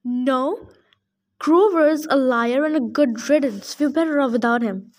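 A blustering old satyr , put on by a woman reading the story aloud, talks in a high, fussy voice. He is pompous and jittery and stammers when scared, in a storybook reading. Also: a little slow.

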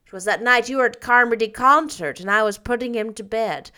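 A woman voicing a stern, practical older guardian who speaks in a dry, measured way. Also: a little high, bright, forceful tone, clear, resonant tone. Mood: grim. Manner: dry, measured, a little grim.

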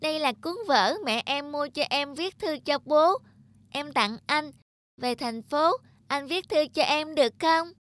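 A performer voicing a small, innocent, wistful child in an animated folk tale, polite and earnest in manner. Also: high-pitched, unhurried, dry recording. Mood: earnest, pleading.

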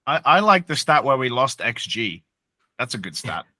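A man co-hosting a casual sports podcast, excitable and quick to laugh. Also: medium pitch, no echo, clean studio sound. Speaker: podcast co-host.

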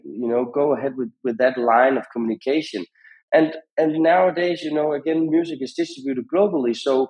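A man giving conversational advice as a podcast guest, in halting phrases with frequent repetitions. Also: mid-range voice, a little mellow, low-quality recording. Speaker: podcast guest, founder of a radio monitoring company.

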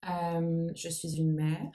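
A woman's voice: fairly low pitch, flat delivery, takes it slowly, mellow. A woman in her forties whose first language is English, speaking French with an English accent, answering interview questions thoughtfully and searching for her words. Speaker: guest, nonprofit founder. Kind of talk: interview conversation.

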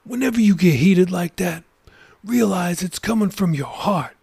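A man voicing an older male pastor who gives kindly, measured advice in a storybook reading. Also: high voice, animated, vocal fry, husky, dry recording, pitched above the performer's own voice, exaggerated voice. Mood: counseling. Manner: gentle, measured, advising.

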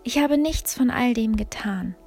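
A joyful little girl from a storybook calls out in a high, bright voice, as a woman puts her on. She speaks slowly and brightly, with gentle music faint behind her. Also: expressive. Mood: happy.

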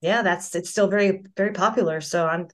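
A woman's voice: fairly low pitch, fairly quick. A middle-aged woman, a voice actress and singer, chatting as a guest in a casual interview over a video call, telling stories with many restarts.

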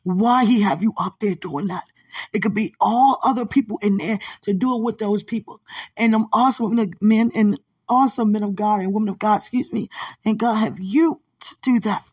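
A woman minister speaking a prophetic word to a singer, drowsy and drifting between firm exhortation and low whispers. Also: moderate pace, a little mellow, slightly rough. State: drowsy, dozing off.

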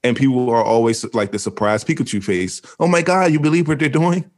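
A young adult man co-hosting a podcast in casual chat, animated and rambling, laughing often. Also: mid-range voice, brisk. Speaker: podcast co-host.

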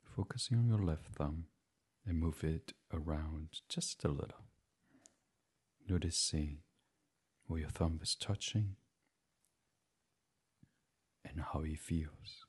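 Deep, husky, breathy man guiding sleep meditation. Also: slow pace. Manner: soothing, hushed, drifting into whispers. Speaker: guided meditation host. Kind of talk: gentle guided sleep meditation, ASMR.

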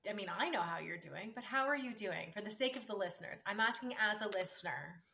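Raspy-voiced woman in her 30s, laid-back podcast cohost, dry jokes. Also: mid-range voice, animated, fast talker, sharp tone. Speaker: podcast co-host. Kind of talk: casual chat.

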